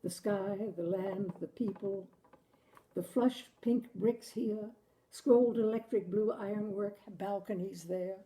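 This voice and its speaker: Slow, mellow woman reading literary fiction. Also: mid-range voice, fairly even intonation, rough recording. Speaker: literary reader, storyteller. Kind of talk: expressive audiobook-style story narration.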